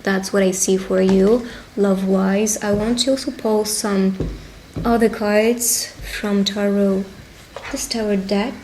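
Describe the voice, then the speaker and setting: A woman's voice: mid-range voice, fairly even intonation, slow, darkish tone. Woman giving tarot reading, noisy background. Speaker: tarot reader and roleplay host. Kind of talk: witch roleplay tarot reading addressed to the listener. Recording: clear background ambient noise.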